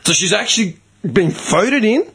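A man's voice: high voice, unhurried, slightly rough. A man in his thirties with an Australian accent, telling stories in a casual, swearing-heavy chat. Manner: swears a lot, animated storytelling.

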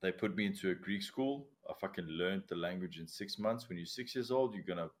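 A South African man, raised speaking Afrikaans, chatting as a podcast guest. His voice sits low, and he tells long, rambling stories with plenty of swearing and laughs. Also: fairly quick. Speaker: podcast guest, commercial diver. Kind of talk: casual chat.

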